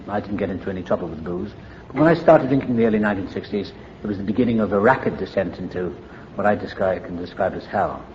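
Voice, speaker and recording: An older man with a Welsh accent speaks in a low voice, reflective and candid with touches of dry humor. The recording is muffled and lo-fi, with a faint hum of the room behind him. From Wales. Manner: reflective, candid, wry storytelling. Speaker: AA meeting speaker. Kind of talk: personal recovery story at a meeting.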